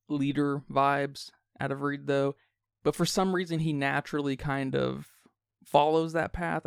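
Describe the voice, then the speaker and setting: A man's voice: mid-range voice, creaky, clear, resonant tone, no echo, clean studio sound. A man co-hosting a podcast, talking through a film in a casual but analytical, reflective way. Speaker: podcast co-host.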